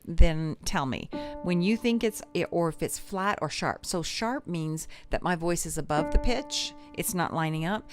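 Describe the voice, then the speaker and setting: A woman voice teacher talks viewers through an ear-training exercise in a chatty, encouraging way, and she laughs easily. Her voice sits fairly low. Clear instrument notes play behind her. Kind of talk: live-streamed singing lesson.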